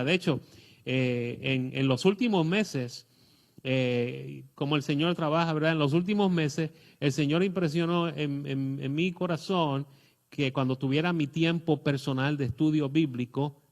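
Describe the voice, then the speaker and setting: A middle-aged man with a Puerto Rican accent preaching a sermon, pausing often with fillers and restarts. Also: mid-range voice. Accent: Puerto Rican Spanish. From Puerto Rico. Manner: hesitant, many fillers and restarts. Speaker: pastor.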